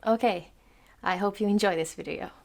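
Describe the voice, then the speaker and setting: Young woman, Finnish accent, mid pitch, measured and careful, explanatory vlog delivery. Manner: careful, measured, occasional restarts mid-word. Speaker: book vlogger.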